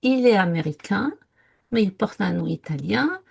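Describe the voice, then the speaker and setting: Woman, podcast co-host; gravelly, slightly husky, reflective, hesitant delivery. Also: mid-range voice, lively intonation, normal speed. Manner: reflective, earnest, many hesitations. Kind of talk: casual conversational podcast. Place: lived in France.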